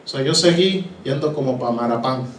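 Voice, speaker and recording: A man's voice: medium pitch, normal speed, far from the mic, slight background noise. A man from Puerto Rico with a Puerto Rican accent, a pastor giving his testimony from the pulpit, acting out voices and slipping into English. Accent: Puerto Rican Spanish. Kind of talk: sermon testimony. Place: church hall. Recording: echoey hall, voice from afar.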